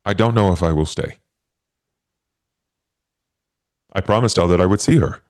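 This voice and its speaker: A man's voice: low voice, rapid-fire, darkish tone, no room sound, clean studio sound, natural voice. A man playing a naive, blunt vampire with stony skin in a tabletop game, speaking in stiff, plain, literal phrases. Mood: calm, resolute. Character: naive, blunt male stone-skinned vampire, earnest and literal.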